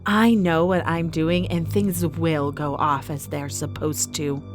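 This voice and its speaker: A woman's voice: low voice, steady tone, slow pace. A woman playing a proud, defiant boss of an underground fighting arena in an audio drama, firm and challenging. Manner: firm, challenging, self-assured. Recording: music under the voice.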